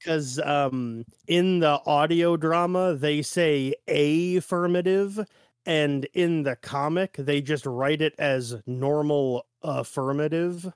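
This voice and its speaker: A man's voice: fairly high pitch, slow, clear, resonant tone, no room sound, studio quality. A man co-hosting a podcast, talking casually and analytically, stressing his points. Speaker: podcast host. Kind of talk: casual analytical discussion chat.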